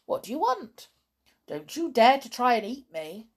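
A woman's voice: on the high side, sing-song, unhurried, pitched above the performer's own voice. A woman voicing a small, nervous, chatty male field mouse in a children's storybook. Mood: alarmed. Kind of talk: children's storybook read aloud.

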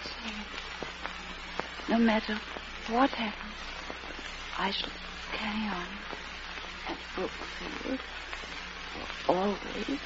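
An actress playing a frail, loving wife on her deathbed in an old radio drama, speaking weakly, tenderly and haltingly. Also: mid-range voice. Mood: weak pleading. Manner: weak, breathy, halting, tender. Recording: dull, noisy old radio recording.

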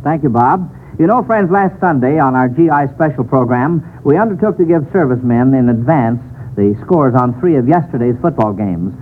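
American male radio quiz host, 1940s style, formal and measured, on a thin vintage broadcast. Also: medium pitch, expressive. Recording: tinny, noisy old radio broadcast.

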